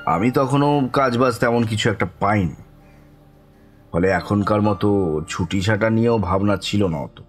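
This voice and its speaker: A low-pitched middle-aged storyteller played by a man in an audio horror drama, cheerful and fond of mystery. He speaks deliberately and teasingly, with music underneath. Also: lightly acted. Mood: reminiscing. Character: cheerful older club raconteur turning mysterious storyteller.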